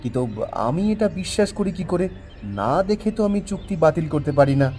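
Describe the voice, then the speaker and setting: A man's voice: high voice, animated, fast, a little mellow, soft background music. A man as a skeptical city buyer. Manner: surprised, questioning, dismissive. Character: puzzled wealthy city buyer, adult man.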